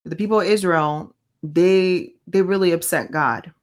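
A woman's voice: fairly low pitch, measured pace. A woman whose first language is Spanish, hosting her own faith podcast in an earnest, conversational monologue that often asks herself questions. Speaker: podcast host.

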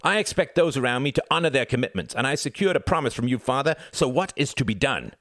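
A man's voice: lively intonation, fast, a bit bright, dry recording, studio quality. A man reading as an arrogant, sneering upper-class young man, indignant and haughty. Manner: haughty, indignant, rising shrill.

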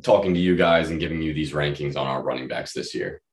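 A young man with a very low, slightly hoarse voice talks casually as a sports podcast co-host. He sounds set back from the microphone in a room with strong echo. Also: fast talker. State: slightly hoarse from feeling unwell. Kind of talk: casual fantasy football analysis. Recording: roomy echo, distant from mic.

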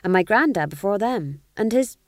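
A woman's voice: medium pitch, animated, normal speed, dry recording, studio quality, pitched above the performer's own voice, natural voice. A woman voicing a nervous young male court jester who stammers in old-fashioned speech. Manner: jittery, stammering, archaic speech.

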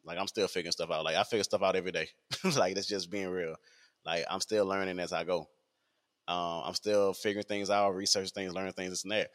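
A man's voice: sits low, level tone, quick pace, fairly bright tone. A man, talking in a rambling casual podcast monologue full of fillers and repeats. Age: 20s.

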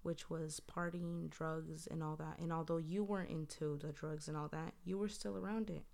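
A woman with a low voice chatting casually as a podcast guest, recounting an earlier conversation. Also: steady tone, moderate pace, creaky, a little mellow.

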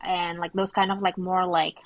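A woman's voice: on the low side, level tone, normal speed, no echo, dull audio. A young woman from Indonesia, a podcast guest, talking casually and filling her speech with "like". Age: young adult. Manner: chatty, heavy use of "like" fillers. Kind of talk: casual conversational interview.

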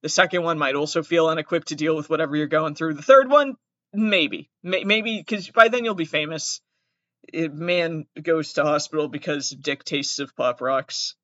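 A man's voice: fairly high pitch, moderate pace, pushed and bright, dry recording. Rambling Massachusetts man, dull dry recording. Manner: rambling, self-interrupting, jokey asides. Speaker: podcast host. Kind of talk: solo comedic trivia reading. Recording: dull, dry close-mic sound.

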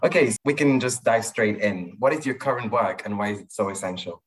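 A man's voice: lowish voice, expressive, a little fast. A man in his early twenties from Spain with a Spanish accent, hosting a podcast with earnest, slightly formal delivery. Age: early 20s. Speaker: podcast host. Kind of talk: scripted podcast intro and interview questions.

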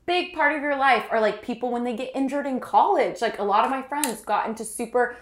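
A woman's voice: fairly high pitch, a little fast, echoey room, slight background noise. A young woman co-hosting a casual podcast, congested from allergies, chatty and joking. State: stuffy from allergies. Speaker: podcast co-host. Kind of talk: casual chat.

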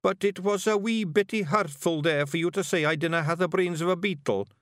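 A man's voice: high voice, brisk, a bit bright, dry recording, clean studio sound, voice pushed up. A man voicing a simple-minded, earnest male fairy with a plaintive manner.

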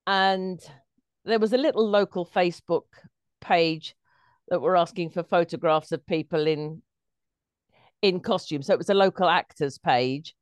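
A woman's voice: on the low side, measured pace, clean tone, no room sound. A woman, a guest performer telling anecdotes casually in an interview.

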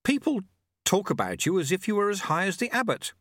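Man acting a young male novice, raised pitch, earnest, hesitant, deferential, bright voice, storybook character. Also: very animated, a little fast, dry recording, studio quality, exaggerated voice. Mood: awed, flustered.

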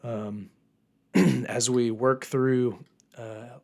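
A young man with a hoarse voice from a recent illness, hosting a solo faith podcast in a casual, earnest way with many fillers. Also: mid-range voice, level tone, very slow, darkish tone. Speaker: podcast host.

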